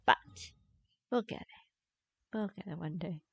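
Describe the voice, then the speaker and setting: Dry, slightly low-voiced woman game streamer. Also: unhurried, creaky, fairly bright tone, breathy edge, dry recording. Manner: rambling, half talking to herself. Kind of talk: casual live gameplay commentary. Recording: dry close sound, slightly dull band.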